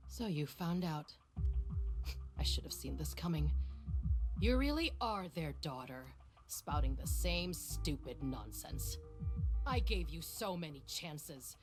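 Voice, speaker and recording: Scornful villainess scientist over loud music. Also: female voice, sits low, very animated, slow, full character voice. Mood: bitter, scornful. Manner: condescending, menacing, theatrical. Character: cold, arrogant villainous woman scientist, bitter and scornful. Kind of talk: video game voice acting. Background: game music.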